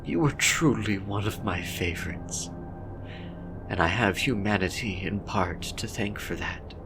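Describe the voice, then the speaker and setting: A man voicing an ancient female cosmic deity in a horror story, bored and sweetly menacing, with drawn-out, condescendingly tender delivery. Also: lowish voice, over music, pitched above the performer's own voice. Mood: gloating fondness. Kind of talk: dramatic horror story reading.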